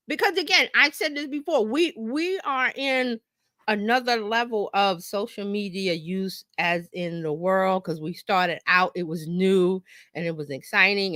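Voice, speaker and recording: A woman teaching informally on a live stream as a marketing coach, conversational and animated, often acting out imagined remarks and checking in with listeners. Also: medium pitch, dry recording, clean studio sound.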